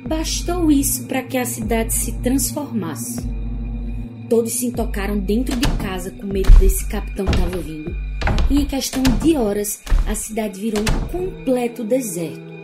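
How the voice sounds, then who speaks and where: A woman's voice: on the high side, expressive, moderate pace, over loud music, close to an everyday voice. A woman playing a folksy, gossipy clown who narrates a radio play theatrically. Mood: dramatic.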